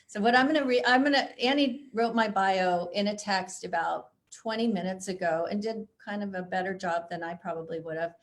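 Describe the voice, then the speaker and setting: A woman's voice: medium pitch, a little fast, few pauses. A middle-aged woman from Chicago, an author being interviewed, chatting with frequent self-deprecating laughs and reading from her book. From Chicago, USA. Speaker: author guest.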